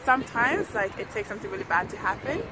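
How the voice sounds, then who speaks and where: A woman's voice: mid-range voice, lively intonation, quick pace. A young woman from Ghana giving an earnest casual testimony outdoors, often saying "you know". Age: young adult. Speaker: interview guest. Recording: loud street crowd and traffic noise. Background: crowd and traffic.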